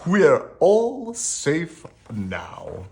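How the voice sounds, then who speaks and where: Storybook owl, done by an adult reader: kindly, bossy, reassuring, exclaiming, highly animated pitch. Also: extremely slow, exaggerated voice. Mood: comforting. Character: kindly, bossy owl, comforting but jumpy.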